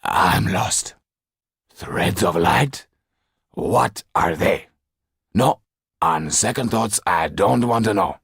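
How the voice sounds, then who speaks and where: A man's voice: sits low, expressive, slow pace, creaky, long pauses, fairly bright tone, slightly rough, no room sound, studio quality, full character voice. A man voicing an anxious, theatrical, self-pitying theatre owner in a storybook, fretful, exclamatory and mournful. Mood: baffled, irritable.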